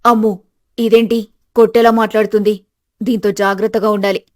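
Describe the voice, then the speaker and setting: A woman voicing a greedy, domineering older mother-in-law in an animated moral story, scolding and threatening with contempt. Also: medium pitch, fairly quick, pitched below the performer's own voice, close to an everyday voice. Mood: wary.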